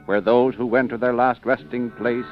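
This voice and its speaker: A man narrating an old-time radio mystery from a script, dramatic and portentous, with weighty pauses. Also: mid-range voice, flat delivery. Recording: muffled old radio recording. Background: prominent music.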